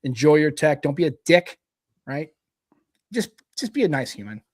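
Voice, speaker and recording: A man's voice: a little high, sing-song, normal speed, no room sound, studio quality. Joking, profane middle-aged man. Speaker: podcast co-host. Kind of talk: casual chat.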